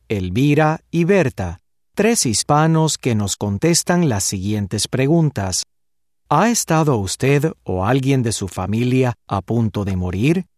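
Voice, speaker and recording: A man reading the instructions for a listening exercise in a clear, deliberate way. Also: medium pitch, a little mellow, dry recording, studio quality. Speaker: course narrator. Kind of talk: scripted instructional narration.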